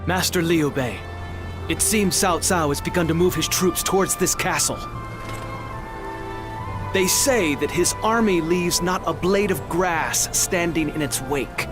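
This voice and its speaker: An old provincial lord, played by a male actor, speaks in a raised pitch with anxious humility. His delivery is formal and imploring, game drama set over clear music. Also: a little slow, lightly acted. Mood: worried. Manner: formal, pleading. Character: anxious, humble old provincial governor of ancient China. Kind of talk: video game dramatic acting. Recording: voice under loud music.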